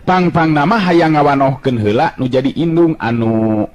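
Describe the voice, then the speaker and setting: A man narrating a folk tale as a storyteller, in a brisk, measured way. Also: a little high, a little mellow, dull audio.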